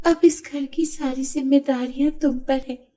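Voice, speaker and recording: Woman playing a worried, high-voiced mother. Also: steady tone, moderate pace, mellow, lightly acted. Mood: worried pleading. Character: worried mother urging her wayward son.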